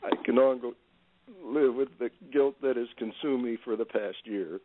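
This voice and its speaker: A man's voice: mid-range voice, normal speed, no room sound, lightly acted. An actor playing a middle-aged man with guilt to hide, cornered, fearful and defensive in an audio drama. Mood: broken, remorseful. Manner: agitated, incredulous, pleading. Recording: phone-like lo-fi line.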